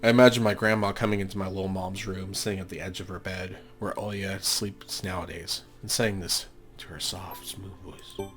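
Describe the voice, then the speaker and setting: A man's voice: deep voice, fairly even intonation, moderate pace, fairly bright tone. A man hosting a game playthrough, mixing casual commentary with reading the story text aloud. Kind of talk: casual gaming commentary and read-aloud narration. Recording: music under the voice. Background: game music.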